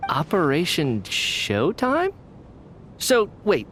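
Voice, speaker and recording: A man's voice: a little high, wildly swooping pitch, slow, a bit bright, a little hiss or hum, exaggerated voice. An actor voicing a wry, reluctant young male soldier in a game cutscene, teasing and sarcastic.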